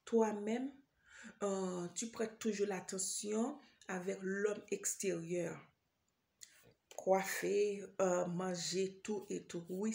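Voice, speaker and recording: A woman's voice: fairly low pitch, vocal fry, darkish tone. A woman from Haiti with a Haitian accent, preaching an informal sermon straight to the listener, hesitant, full of fillers and repeated phrases. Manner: addresses listener directly.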